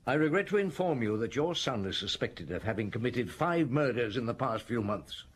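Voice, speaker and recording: An actor playing a calm, probing police chief inspector who questions suspects in a measured way in a radio drama. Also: male voice, medium pitch, a little hiss or hum, natural voice. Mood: steady. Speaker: detective.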